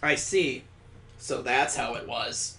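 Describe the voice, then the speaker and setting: Brief: male reader playing flat, menacing young murderess, roomy echo. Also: rumbling low, slow, voice pushed down, full character voice. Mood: cold. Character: cold, murderous young woman, calm and flat.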